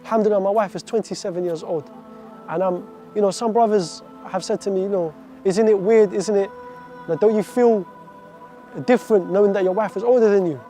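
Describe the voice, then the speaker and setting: A man's voice: sits high, steady tone, fairly quick, mellow, soft background music. A man in his twenties from Iraq, giving an earnest, heartfelt faith testimony full of devout phrases. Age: 20s.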